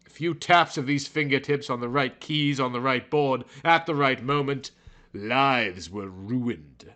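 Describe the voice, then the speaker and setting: A man narrating a story in a theatrical, brooding, overwrought style, as if every line were high drama. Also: unhurried, sharp tone, faint music behind.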